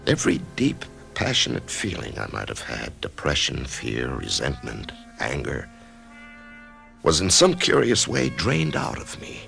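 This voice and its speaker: A brooding middle-aged American in a radio mystery, with an extremely low, crackling voice. He tells his own grim story in a measured, introspective, halting way, and his pitch moves expressively. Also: huge pitch swings, fairly bright tone, faint music behind, heavily acted. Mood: haunted, detached. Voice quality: gravelly. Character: brooding man facing death, narrating his own ordeal. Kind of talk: radio drama acting with first-person narration.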